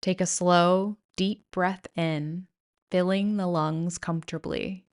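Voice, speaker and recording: A woman guiding a yoga nidra meditation in a soothing, gentle, measured way with pauses. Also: on the low side, fairly even intonation. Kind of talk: guided meditation narration.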